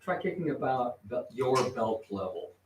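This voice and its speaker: A man with a low baritone voice, teaching a kids karate class as guest instructor, calling out counts and correcting form. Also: very animated. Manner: slow, counts drills aloud and corrects form. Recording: echoey hall, far from mic, noisy.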